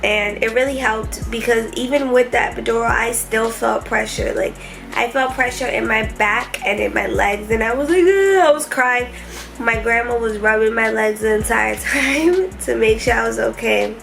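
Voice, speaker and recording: A woman's voice: a little high, normal speed, vocal fry, a bit bright, soft background music. A young woman vlogging casually and candidly from a hospital room, laughing often, tired and stuffy-nosed.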